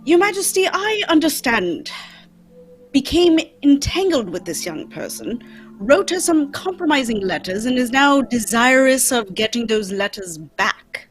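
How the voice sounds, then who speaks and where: A woman playing a shrewd, courteous gentleman detective in a radio mystery, speaking formally and probingly. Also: high-pitched, big pitch swings, unhurried, soft background music, poor audio. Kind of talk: old-time radio mystery acting, read live.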